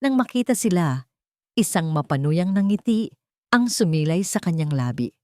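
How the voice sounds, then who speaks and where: A woman narrates a scripted romantic drama in a low-pitched voice. The sound is dry and close, with almost no room around it. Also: big pitch swings, normal speed, a little mellow, no echo.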